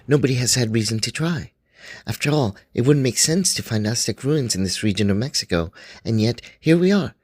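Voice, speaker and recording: A man playing a 1920s scholar who reads his expedition journal aloud in a measured, dryly wry way. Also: medium pitch, no room sound, close to an everyday voice. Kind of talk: first-person journal reading, radio drama.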